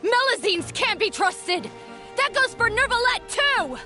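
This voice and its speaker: Game agitator: high, sharp and scornful, swinging widely in pitch, accusing. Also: female voice, very animated, unhurried, faint music behind, heavily acted. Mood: angry, accusing. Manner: forceful, accusing. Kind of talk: dramatic video game acting.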